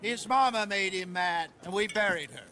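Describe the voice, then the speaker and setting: High-pitched roguish American outlaw drawler. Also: male voice, normal speed. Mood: loud, rousing showman. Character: roguish older male outlaw con man, jovial drawler. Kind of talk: video game cutscene acting.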